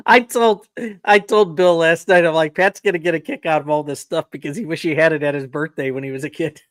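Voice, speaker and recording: A man's voice: on the high side, steady tone, a little fast, dry recording, clean studio sound. A man co-hosting a live podcast, cracking jokes and laughing at his own quips. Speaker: podcast co-host. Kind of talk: casual live-stream chat.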